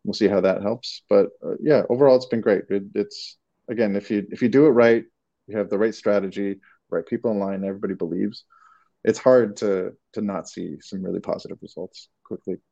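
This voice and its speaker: A man's voice: fairly low pitch, fairly even intonation, a little fast, vocal fry, warm tone, dull audio. A man from Canada with a Canadian accent, answering interview questions casually and hesitantly, with many restarts. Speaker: creative director, guest.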